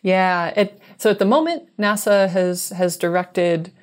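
A woman's voice: on the low side, unhurried. A woman in her thirties speaking as a guest scientist on a video call, explaining technical matters carefully and correcting herself as she goes. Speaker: guest scientist, exoplanet researcher. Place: small indoor room, video call. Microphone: wired earbuds headset on a video call.

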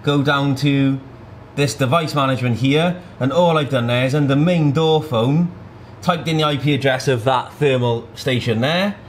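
A man in his thirties demonstrates a product in an informal, step-by-step way. His voice sits at an average pitch. There is audible room noise behind him in an indoor showroom. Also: moderate pace. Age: 30s. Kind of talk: hands-on tutorial narration.

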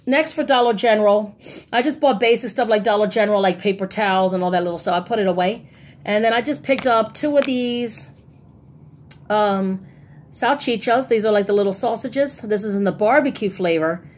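A woman's voice: medium pitch, normal speed, a little mellow, a little hiss or hum. A woman chatting casually and informally in a rambling style, as a vlogger showing off her shopping. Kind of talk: casual haul vlog chat.

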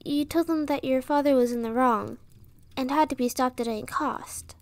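A woman's voice: sits high, normal speed, no echo. A woman playing a brave, pleading young commoner girl in a fantasy audio drama, speaking earnestly. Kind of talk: fantasy audio roleplay acting.

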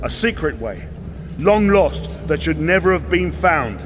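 World-weary sellsword captain: high-pitched for a man, blunt, commanding, fantasy audio drama with score. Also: very animated, measured pace, music in the background. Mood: wary, resigned. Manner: plain-spoken, gruffly commanding.

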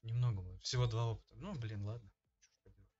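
A man's voice: fairly low pitch, very fast, darkish tone, slightly rough, soft background music. A man giving casual let's-play commentary as a gaming host, talking informally and slangily as he thinks aloud. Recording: dull lo-fi microphone sound.

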